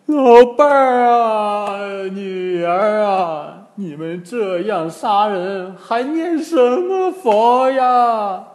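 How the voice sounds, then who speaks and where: A man's voice: sits high, sing-song, pitched above the performer's own voice, heavily acted. A man voicing a wailing, pleading old man who is a demon in disguise, in a dramatised story. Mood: wailing grief. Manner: drawn-out theatrical wailing and pleading.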